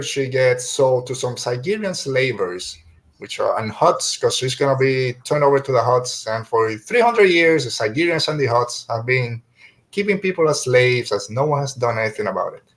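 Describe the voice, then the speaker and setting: Guy podcast host, medium pitch, casual rambling review talk, keeps hunting for the right word.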